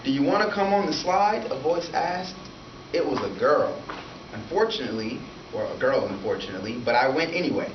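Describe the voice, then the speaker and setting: A man's voice: mid-range voice, expressive, fairly quick, lightly acted. A man voicing a lonely, timid, polite young boy with simple childlike narration in a storybook read-aloud. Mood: bored, shy. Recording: echoey room from far, noisy, dull.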